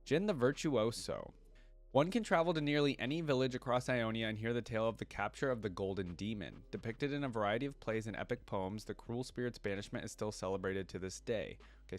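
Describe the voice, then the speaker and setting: Low-pitched man reacting casually. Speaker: host of a reaction channel. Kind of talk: casual reaction commentary with reading aloud.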